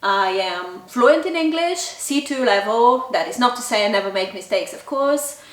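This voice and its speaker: A woman's voice: on the high side, animated, normal speed. Woman in a roomy, echoey space. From Bulgaria. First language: Bulgarian. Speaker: language channel host. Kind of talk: casual talk to camera. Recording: roomy echo, distant mic.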